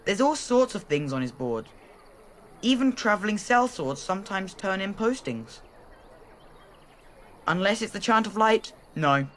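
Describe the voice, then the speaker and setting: A helpful country villager, simple and folksy. The voice is high for a man and bounces around in pitch, with faint outdoor-style noise behind it. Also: lively intonation, measured pace, long pauses, fairly bright tone. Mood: eager. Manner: folksy, plain-spoken, repeats himself. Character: chatty, helpful rustic villager, simple and eager. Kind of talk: video game character dialogue.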